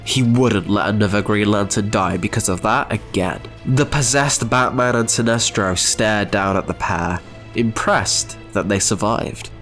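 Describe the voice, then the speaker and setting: A man's voice: lowish voice, unhurried, a bit bright. A man narrating a scripted, dramatic story as its narrator. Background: music.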